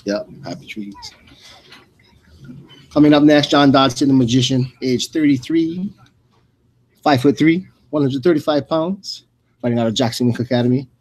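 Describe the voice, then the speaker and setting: A man's voice: mid-range voice, moderate pace, long pauses, darkish tone, a little hiss or hum, rough recording. Male radio host for live fight play-by-play, informal and slangy, rambling between announcements. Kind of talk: loose, casual live fight commentary.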